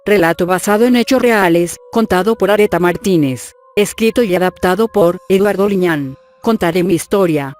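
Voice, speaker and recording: A narrator giving a steady, measured reading of a scripted horror story. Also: mid-range voice. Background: faint music.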